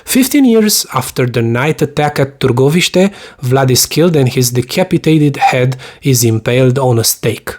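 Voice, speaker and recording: A man's voice: medium pitch, animated, normal speed, few pauses, a little mellow. A man from Romania with a Romanian accent, speaking English as a second language as he narrates a scripted review in an earnest, explanatory way. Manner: earnest, explanatory, slightly formal phrasing. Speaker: YouTube host, mythology teacher.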